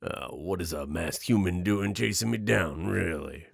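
Guy doing a cocky werewolf for audio roleplay, slow husky drawn-out talk, sarcastic and flippant. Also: low-pitched, dry recording, studio quality, exaggerated voice. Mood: nervous, sarcastic. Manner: mocking, hesitant asides with chuckles.

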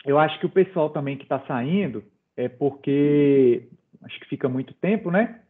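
A man's voice: a little high, animated, normal speed. A middle-aged man presenting in an online webinar, talking informally with many fillers and light jokes to the audience. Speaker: presenter running a quiz. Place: home office. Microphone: video call. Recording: phone-like video call audio.

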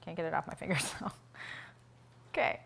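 A woman's voice: fairly low pitch, brisk, creaky, a little hiss or hum. A woman in her thirties from South Florida hosting a casual cooking stream, musing aloud with lots of ums as she cooks and tastes food in her home kitchen. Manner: relaxed, thinking aloud with many ums while cooking and tasting. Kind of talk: casual cooking livestream chat.

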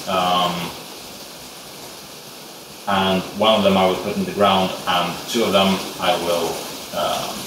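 Soft, slow Swedish man in rainy sunroom. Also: fairly low pitch. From Sweden. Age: young adult. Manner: hesitant, thinks aloud while working, many fillers. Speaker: bonsai hobbyist vlogger. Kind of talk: casual hands-on tutorial chat. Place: small glassed sunroom indoors with rain outside. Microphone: camera mic from a distance. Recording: echoey distant camera audio with rain hiss. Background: steady rain noise.

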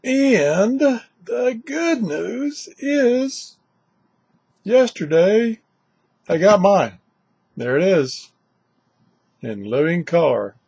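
Gravelly older man, casual and chatty. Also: sits high, big pitch swings, drawn-out words, pauses often, darkish tone, dry recording. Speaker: video host reviewing shortwave radios. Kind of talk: casual unscripted product vlog. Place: indoors, small cluttered radio room.

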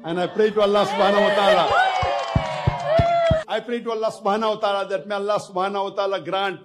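An older man lecturing in a large indoor hall into a stand mic, calmly asking questions and leading phrases for the crowd to repeat. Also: high voice, quick pace, heavy background noise. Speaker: lecturer leading converts in a declaration of faith. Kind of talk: public lecture Q and A.

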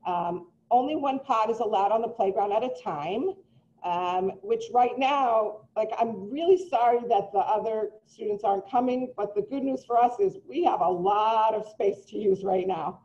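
A middle-aged woman speaks earnestly to parents from her home over a video call, reassuring and full of little ums. Her voice is mellow and unforced. The laptop microphone makes it sound muffled and lo-fi. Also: medium pitch, moderate pace. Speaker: preschool director. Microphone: laptop mic on a video call. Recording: dull lo-fi video call audio.

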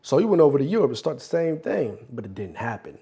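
Man acting husky, blustering strip club boss. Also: mid-range voice, big pitch swings, warm tone, full character voice. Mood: sly storytelling. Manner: repetitive, blustering, streetwise storytelling with drawn-out emphasis. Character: brash, bullying older male strip club boss with a hustler's swagger. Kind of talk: acted film monologue impression.

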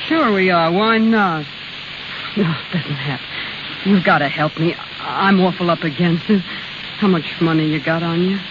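A woman's voice: on the low side, a little slow, natural voice. Actress playing a brassy carnival girl, scratchy old radio. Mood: urgent. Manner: slangy, brassy, combative. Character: tough, defiant young carnival woman, newly married, street-smart. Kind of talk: 1930s radio acting. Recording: scratchy, dull 1930s radio broadcast with hiss.

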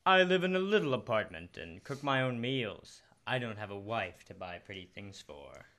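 A young man acting a shy, lonely bachelor store clerk in an old-time radio comedy, halting and bashful. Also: lowish voice, sing-song, sharp tone, exaggerated voice. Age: young adult. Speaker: high school student actor. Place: school library room, live staged radio show. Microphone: shared stand microphone.

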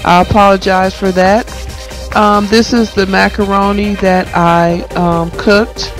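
A middle-aged woman vlogging about cooking, her voice hoarse from a cold, chatting eagerly to viewers. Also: medium pitch, level tone, takes it slowly, music in the background, low-quality recording. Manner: chatty, enthusiastic. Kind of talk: casual cooking vlog. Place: parked car. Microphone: phone camera held close.

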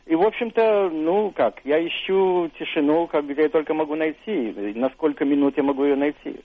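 An older man, a professor, answers slowly and reflectively, pausing on fillers. His voice sits somewhat high. The sound is dry and boxy, like a narrow radio line. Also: no echo. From Russia. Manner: thoughtful, hesitant, many fillers and restarts. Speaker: author and university professor, studio guest.

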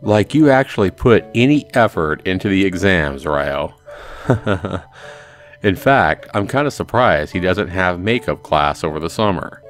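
A man's voice: sits low, animated, measured pace. A man hosting a let's play, casually commenting and reading a visual novel aloud. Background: music.

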